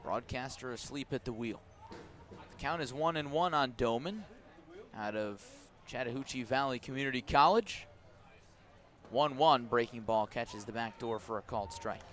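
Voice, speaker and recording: A man with an American accent doing conversational live radio baseball play-by-play, mixing clipped pitch calls with storytelling and wry asides. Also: moderate pace, fairly bright tone. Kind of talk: live radio sports commentary mixed with storytelling.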